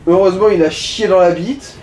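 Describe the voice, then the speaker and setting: A man's voice: high voice, fairly quick, darkish tone, a little hiss or hum. A man giving casual let's play commentary as he guides the player, in slangy, crude language full of swearing. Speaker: gaming co-commentator guiding the player.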